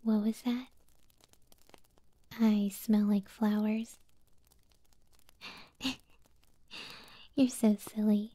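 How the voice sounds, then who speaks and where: A woman voicing a possessive, doting young moth-girl girlfriend in an ASMR roleplay, speaking softly, breathily and tenderly, close to the microphone. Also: mid-range voice, dry recording. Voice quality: soft, breathy, whispery. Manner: slow, tender, teasing. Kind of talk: ASMR audio roleplay, intimate soft speech.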